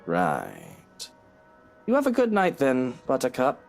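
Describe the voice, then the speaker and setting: A man's voice: mid-range voice, huge pitch swings, slow pace, gravelly, soft background music, full character voice. A man voicing a haughty, irritable rich master of the house in a pompous, exclamatory way for a story game. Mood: aloof, polite.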